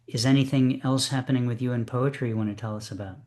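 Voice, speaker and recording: A man's voice: medium pitch, fairly even intonation, quick pace. A man hosting an online poetry reading, speaking with many fillers and restarts. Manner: warm, hesitant, many fillers. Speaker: host. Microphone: video call.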